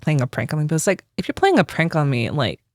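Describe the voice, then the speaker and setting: A woman's voice: low voice, wildly swooping pitch, fast talker, darkish tone, no room sound, clean studio sound. A woman in her forties from the United States, a religious sister telling her personal story in an interview, earnest and reflective.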